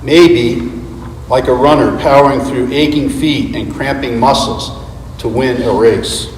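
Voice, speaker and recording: A man giving an earnest, deliberate dramatic reading of a script as a church readers theater performer. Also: mid-range voice, fairly even intonation. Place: large reverberant church space. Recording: echoey hall, distant mic, background noise.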